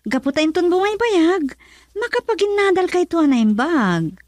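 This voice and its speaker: A high female voice with a Filipino accent, close and dry. She is the concerned confidante of a radio drama, earnest and full of counsel. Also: big pitch swings, moderate pace, darkish tone, dry recording. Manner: earnest, advising. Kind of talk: Ilocano radio drama acting.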